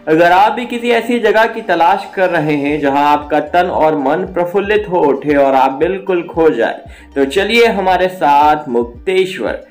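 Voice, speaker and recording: A man narrating a travel guide video as its host, with a steady, inviting tour-guide delivery. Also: on the high side, a little slow. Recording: faint background music.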